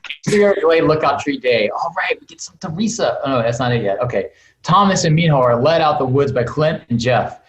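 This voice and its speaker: A man informally narrating stage directions for a script read on a video call. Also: mid-range voice. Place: indoor room, video call. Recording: echoey room on video call.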